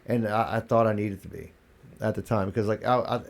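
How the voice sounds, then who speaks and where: A congested man with a gravelly voice, talking candidly as a podcast guest, restarting his sentences as he tells his story. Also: lowish voice, little pitch movement, fairly quick. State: congested, coughing. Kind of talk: casual interview talk.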